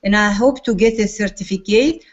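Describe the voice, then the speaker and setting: A woman whose first language is Uzbek, a teacher, introducing herself in halting, deliberate English on a video call. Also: medium pitch. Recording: dull lo-fi call audio.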